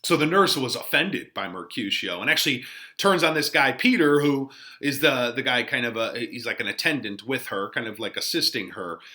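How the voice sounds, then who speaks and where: A man's voice: mid-range voice, animated, normal speed, pushed and bright. A man teaching in an informal commentary, explaining in a conversational, emphatic way as an English teacher would.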